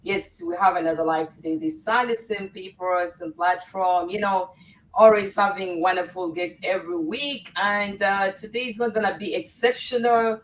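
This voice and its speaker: A middle-aged woman hosts a live online panel, speaking at an ordinary middle pitch. She sits a little back from her mic on a video call, so her voice sounds somewhat distant. She greets viewers with frequent filler words. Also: fairly even intonation, moderate pace. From Uganda. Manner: warm welcoming, with frequent fillers. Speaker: host. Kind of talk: casual livestream hosting.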